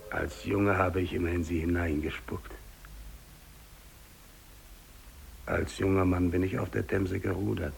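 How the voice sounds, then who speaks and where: An actor playing a dying, weary English polar explorer, speaking slow, declamatory monologue with long pauses in a 1950s German radio drama. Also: male voice, lowish voice, vocal fry, husky, heavily acted. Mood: weary, solemn. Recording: noisy old radio drama recording.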